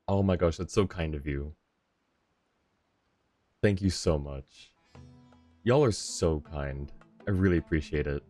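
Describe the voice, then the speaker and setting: Low-pitched, tired man streaming games. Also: a little mellow. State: a little tired. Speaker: live streamer. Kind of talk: casual chat with viewers while gaming. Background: faint game music.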